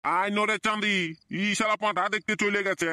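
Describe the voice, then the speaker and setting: A man plays a grumbling village father haggling at a market in a comedy cartoon. He is blunt and exasperated, with a Purulia Bengali accent, talking briskly in a sharp, dry-recorded voice. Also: high-pitched, steady tone, clear, resonant tone, no echo.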